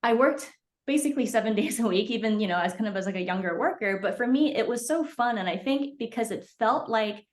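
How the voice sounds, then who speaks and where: A woman's voice: fairly high pitch, lively intonation, fairly quick. A woman in her forties from Hong Kong, chatting as a podcast guest, talking freely with many fillers. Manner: chatty, many fillers, laughs between phrases. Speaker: podcast guest, beverage founder. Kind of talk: casual interview chat.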